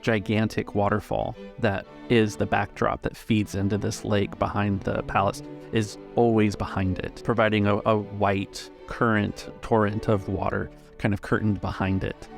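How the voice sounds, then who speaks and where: A man narrating a tabletop game as game master, describing scenes in halting, searching phrases. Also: on the low side, gravelly.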